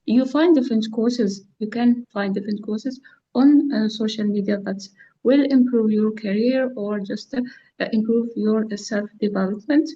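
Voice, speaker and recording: Woman learner, slightly high, mellow, lots of uhs, muffled call. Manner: halting, with many fillers. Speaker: learner in an online English class. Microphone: video call. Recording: lo-fi video call audio.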